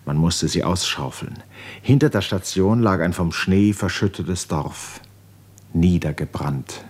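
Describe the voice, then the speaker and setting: An actor narrating an epic novel in a 1950s radio drama, grave, measured and solemn. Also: male voice, sits low, a little mellow, husky. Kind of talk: literary narration in a 1950s German radio play.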